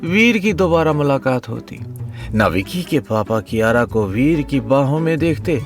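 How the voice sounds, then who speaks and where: A man's voice: medium pitch, big pitch swings, unhurried, faint music behind. A man narrating a comic audio story in a dramatic scripted style.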